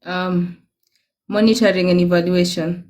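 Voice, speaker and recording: A woman's voice: lowish voice, steady tone, a little slow, a little mellow. A woman hosting a live stream, tossing in short teasing prompts and mixing in Swahili. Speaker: host. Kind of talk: casual live-stream chat.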